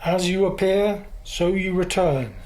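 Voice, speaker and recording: An actor playing a resolute, self-sacrificing young hero in a radio drama, with a solemn, defiant delivery. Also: male voice, high-pitched, measured pace, a little mellow, low-quality recording.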